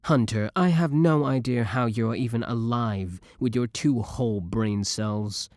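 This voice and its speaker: A man's voice: medium pitch, unhurried, darkish tone, no room sound, lightly acted. A young man acting in an audio roleplay as a clingy, obsessive boyfriend, theatrically doting and smug, scornful of his rival.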